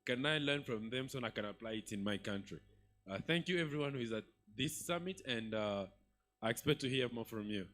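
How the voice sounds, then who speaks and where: A man from DR Congo with a Congolese accent, giving impromptu feedback at an event, halting between his points. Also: mid-range voice, fairly bright tone. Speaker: summit attendee giving feedback. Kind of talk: impromptu public remarks.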